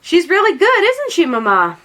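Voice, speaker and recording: Cheerful, encouraging storybook sister, acted by a woman, high-pitched, eager, highly animated. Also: moderate pace, heavily acted. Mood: delighted.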